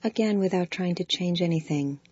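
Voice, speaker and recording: A woman guiding a body scan meditation, giving slow, soft, calm instructions. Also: lowish voice, breathy edge, dry recording, highs cut off. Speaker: meditation guide.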